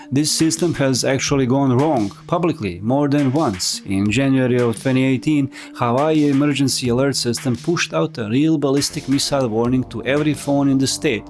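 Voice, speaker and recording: A man's voice: mid-range voice, a little mellow. A man narrating a scripted explainer as a video essayist, with wry, conversational asides. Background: music.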